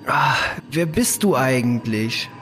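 A man's voice: mid-range voice, animated, takes it slowly, loud music behind the voice. A man playing a defiant, wry human fugitive in a science fiction audio drama, given to swearing. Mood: wry relief.

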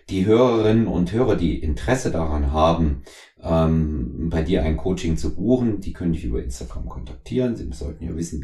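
A middle-aged man from East Germany hosting a conversational podcast interview, speaking in wordy, halting phrases. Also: sits low, mellow. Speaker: podcast host. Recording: roomy, echoing voice recording.

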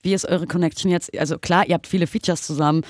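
A woman hosting a radio interview, lively and chatty, with frequent laughs. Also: on the low side, quick pace, no room sound, studio quality. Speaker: radio show host.

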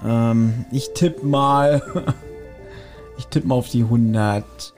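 A man's voice: medium pitch, takes it slowly, darkish tone, faint music behind. A man co-hosting a casual podcast chat, guessing quiz answers and thinking aloud with many hesitant fillers. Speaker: podcast co-host.

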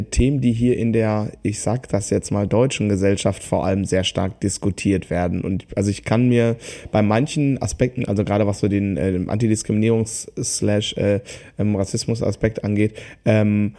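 A man chatting casually as a podcast co-host, rambling and halting as he thinks aloud. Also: on the low side, steady tone, mellow.

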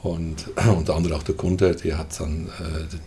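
An older man from the Netherlands with a Dutch accent, talking hesitantly with many fillers and throat clearing as an interview guest. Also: extremely low pitch, level tone, moderate pace, noisy background. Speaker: guest, festival co-manager. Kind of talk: casual interview chat.

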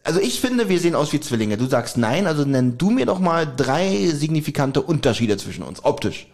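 A man's voice: fairly high pitch, very animated, brisk, a little mellow. A man co-hosting a podcast in casual chat, teasing his partner and often laughing as he talks. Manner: teasing, joking, laughs often while talking. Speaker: podcast co-host.

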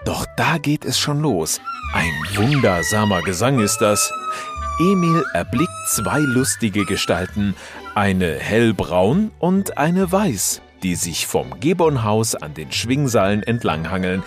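A man with a fairly low voice and hugely varied intonation narrates a children's story. His delivery is expressive and dramatic, scripted storytelling with light music underneath. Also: slow.

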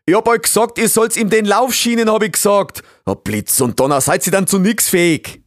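A gruff, eccentric beaver inventor from an animal storybook. He speaks broad Bavarian and blusters like an exasperated boss, his pitch swooping widely in a dry, close recording. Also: sing-song, a little fast, no echo. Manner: blustering, scolding, dialect-heavy. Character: gruff, bossy, eccentric old beaver scientist, easily exasperated.

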